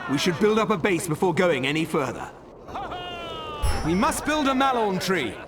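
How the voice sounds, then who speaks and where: An actor playing an earnest elf war leader, giving formal, commanding mission dialogue in a fantasy game. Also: male voice, high voice, sing-song, moderate pace, recorded from a distance, over music. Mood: resolute.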